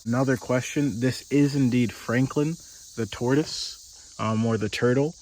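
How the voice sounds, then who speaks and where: A man giving a casual tour of the car he lives in, speaking in a flat, deadpan monotone with dry humor. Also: medium pitch, measured pace, slight background noise, rough recording. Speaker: vlogger living in his car. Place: inside and around a parked car outdoors.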